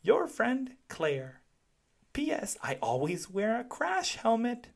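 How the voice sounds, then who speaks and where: A sweet, earnest storybook child, played by a reader. The voice is lifted high and moves slowly, with careful, sing-song phrasing. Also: huge pitch swings, creaky, full character voice. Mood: sweet, grateful. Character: sweet, earnest child writing thank-you letters.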